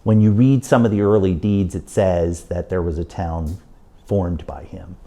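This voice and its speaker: A man's voice: low-pitched, steady tone, measured pace, dark tone. A man, a local historian, explaining the town's early history in an informal, explanatory way. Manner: informal, explanatory, occasional restarts. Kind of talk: interview explanation.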